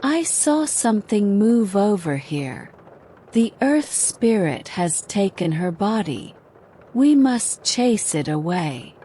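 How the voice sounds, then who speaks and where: Cross, accusing folktale auntie, performed by a woman narrator; slow, sharp delivery, noisy track. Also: mid-range voice, sing-song. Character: cruel, sharp, cross village auntie feigning bravery.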